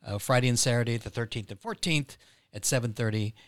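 A man's voice: mid-range voice, a little fast, no room sound, studio quality. A man chatting as a playwright guest on a podcast, explaining things with some hesitation. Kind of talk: casual podcast conversation.

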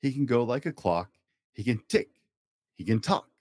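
A man reading a rhyming children's picture book aloud in a playful, expressive way, as a storytime host. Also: medium pitch, normal speed, no room sound. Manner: playful, acts out animal and object sound effects.

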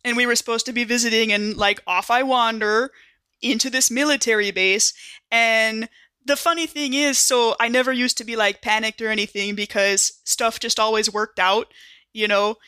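A woman's voice: fairly high pitch, fairly even intonation, normal speed, bright, forceful tone, clear, resonant tone, no echo. A woman playing an easygoing, eagerly amazed young man in a tabletop game, slangy, rambling and cheerfully naive. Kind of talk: improvised tabletop roleplay.

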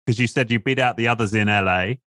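A male podcast host asks questions hesitantly, stumbling through fillers and restarts. His voice sits at a middle pitch and sounds dry and close, with little room sound. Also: fast, a bit bright, no room sound. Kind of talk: interview hosting.

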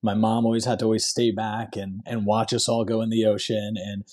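A man's voice: lowish voice, little pitch movement, fairly quick, darkish tone. A man from Hong Kong speaking as an interview guest, telling stories in a casual, conversational way. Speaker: podcast guest, entrepreneur.

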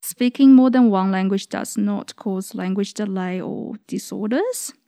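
A woman speech pathologist explaining things to parents in an earnest, measured way, with slightly grammar. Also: medium pitch, warm tone, no echo, studio quality. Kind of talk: explaining, informative podcast talk. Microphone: studio microphone.

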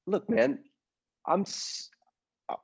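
A man with a husky voice acting in improvised comedy, halting and stammering.

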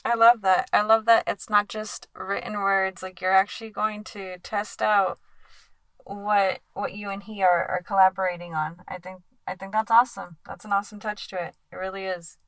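A woman's voice: medium pitch, flat delivery, moderate pace, fairly bright tone, dry recording. Woman hosting a podcast, keeps saying she loves it, a bit stumbly, dry close mic. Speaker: podcast host. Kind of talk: casual interview hosting.

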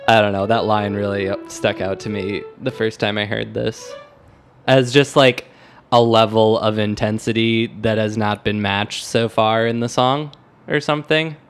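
Male podcast co-host, lowish voice, halting and conversational, faint music underneath. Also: steady tone. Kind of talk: casual music discussion.

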